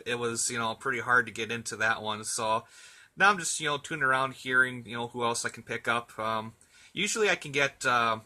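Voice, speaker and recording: A man's voice: medium pitch, sharp tone. A man in a casual radio chat, talking in an easygoing, chatty and hesitant way as an amateur operator.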